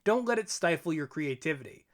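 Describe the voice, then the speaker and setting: High-voiced man reading scripted tips. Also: expressive, fairly quick. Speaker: co-presenter, audio drama writer. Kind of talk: scripted presentation of numbered writing tips.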